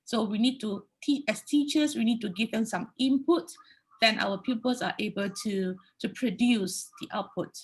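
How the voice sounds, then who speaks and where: Husky, higher-pitched Malaysian woman presenting. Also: normal speed, poor audio. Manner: instructive, checks in with frequent "okay" and "right" tags. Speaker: high school English teacher giving a conference presentation. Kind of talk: explanatory online presentation.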